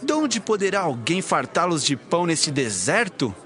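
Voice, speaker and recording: Guy doing an earnest puzzled disciple, high voice, swingy pitch, bible audio drama. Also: big pitch swings, normal speed, a bit bright, soft background music, a faint crowd. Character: male disciples of Jesus, earnest, puzzled followers.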